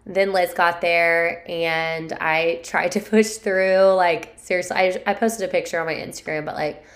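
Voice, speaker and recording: Woman, 30s, hoarse, casual solo podcast, low voice, rambling storyteller. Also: steady tone, a little slow. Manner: rambling, filler-heavy, candid storytelling. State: hoarse, recovering from sore throat. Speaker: podcast host.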